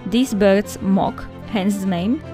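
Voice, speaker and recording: A woman's voice: medium pitch, slow pace, over music. A woman with a Slovak accent, a native Slovak speaker, chatting in a friendly, casual way as she flips through her sketchbook for viewers. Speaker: art vlogger.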